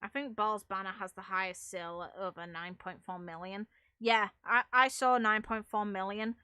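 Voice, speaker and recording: A woman's voice: medium pitch, fairly even intonation, moderate pace, bright, forceful tone, clear, resonant tone, no echo, studio quality. A woman chatting casually on a livestream as a game streamer, giving her opinions in an emphatic, opinionated way. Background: music.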